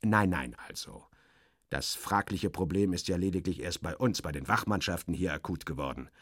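A man's voice: sits low, expressive, normal speed, fairly bright tone, slightly rough, dry recording, studio quality, voice pushed down, exaggerated voice. A man playing a fussy, obsequious station director, an officious bureaucrat who hesitates and corrects himself, in a satirical audio reading. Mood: pedantic explaining.

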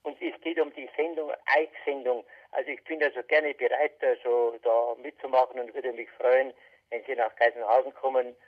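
A man's voice: medium pitch, flat delivery, normal speed. A man leaving a spontaneous, wordy answering machine message, repeating himself as he goes, over a tinny phone line. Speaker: caller leaving a voicemail.

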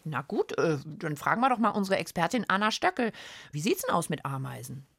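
A woman's voice: fairly low pitch, big pitch swings, a little fast, vocal fry, slightly rough. A woman co-hosting a children's science show in a playful, conversational way, speaking straight to her listeners. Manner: playful, addresses listeners directly. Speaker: co-host of a children's science podcast.

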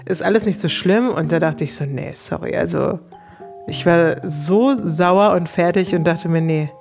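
A woman's voice: on the low side, normal speed, gravelly, over music. A woman telling her own story casually and frankly, quoting her thoughts in colloquial speech.

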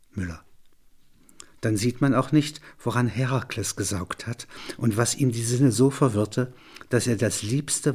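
A man's voice: lowish voice, a little mellow, breathy edge. A man reading a literary dialogue aloud and commenting on it.

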